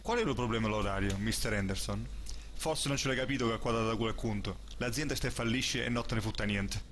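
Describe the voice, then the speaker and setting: A man's voice: on the low side, quick pace, a bit bright, a little hiss or hum, natural voice. A man with an Apulian accent playing an angry, foul-mouthed office boss who berates in a comic dialect film dub. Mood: scolding. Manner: coarse, berating.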